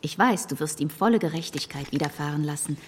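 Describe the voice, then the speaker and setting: Actress as a low, reverberant kindly lady. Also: fast talker, close to an everyday voice. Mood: affectionate. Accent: standard German. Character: kindly gentlewoman voicing her affectionate letter to a friend. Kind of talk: period radio drama acting. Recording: reverberant hall effect, faint noise.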